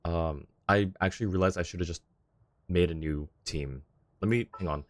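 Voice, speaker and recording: A man's voice: low-pitched, moderate pace. A man chatting casually as a game streamer, thinking aloud in an informal way. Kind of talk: casual livestream chat and game commentary.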